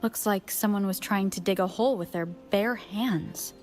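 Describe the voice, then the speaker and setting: A woman's voice: medium pitch, moderate pace, a little mellow, faint music behind, lightly acted. An actress playing an observant young female explorer in a game, curious and plain-spoken. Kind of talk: video game cutscene dialogue.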